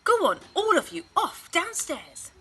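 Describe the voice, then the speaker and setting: A woman's voice: very high-pitched, huge pitch swings, unhurried, fairly bright tone, slight background noise, pitched above the performer's own voice, full character voice. A woman voicing a weary, exasperated but fond elephant mother from a children's storybook, with emphatic, sighing delivery. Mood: exasperated, giving in. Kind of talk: children's storybook character reading.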